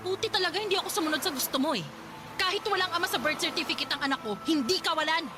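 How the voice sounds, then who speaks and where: A very high-voiced young mother. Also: rapid-fire. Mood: hurt, indignant. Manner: emotional, pleading, indignant. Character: wronged young single mother, anxious yet firm. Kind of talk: Filipino radio drama acting. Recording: loud noisy street-like ambience.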